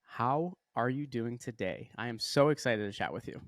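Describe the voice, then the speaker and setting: An upbeat male podcast host with a mid-pitched voice, recorded close and dry. He asks questions in a friendly way and stumbles over repeated words while he finds his phrasing. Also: animated, moderate pace, dry recording. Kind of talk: podcast interview.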